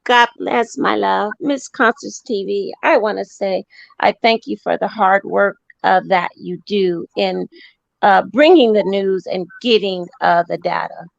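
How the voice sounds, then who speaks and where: A woman's voice: medium pitch, unhurried, gravelly, no room sound, highs cut off. A woman calling in to a talk show, speaking earnestly and measuredly, with frequent fillers. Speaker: caller. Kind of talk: casual chat.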